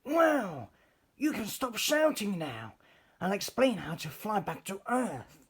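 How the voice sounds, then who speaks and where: A man's voice: high voice, big pitch swings, slow pace, a bit bright, slightly rough, voice pushed up, exaggerated voice. A man voicing an eager, eccentric inventor professor in a storybook, exclaiming and giving instructions. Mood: impressed.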